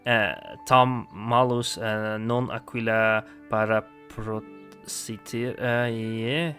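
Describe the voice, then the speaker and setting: A man's voice: lowish voice, fairly even intonation, takes it slowly, fairly bright tone, faint music behind. A man with a Dutch accent, chatting casually while streaming a game, giggly and hesitant with many ums. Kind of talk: casual gameplay commentary.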